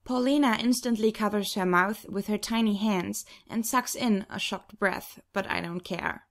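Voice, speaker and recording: Audiobook narrator, woman, average pitch, expressive first-person storytelling from a script. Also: moderate pace, creaky. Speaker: audiobook reader.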